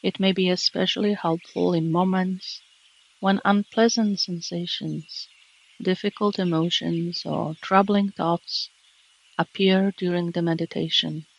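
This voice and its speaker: A woman meditation teacher narrating a guided relaxation in a gentle, calming, measured way with long pauses. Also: on the low side, creaky, muffled recording. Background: birdsong and nature sounds.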